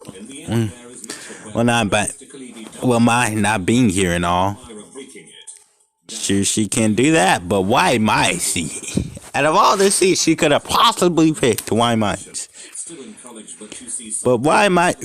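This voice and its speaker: A man's voice: lowish voice, fairly bright tone. A young man casually reading a fan story aloud, stumbling over lines and stopping to joke and swear. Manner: reads unevenly, breaks off to joke and swear. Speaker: fanfic reader. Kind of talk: casual fanfic reading with commentary.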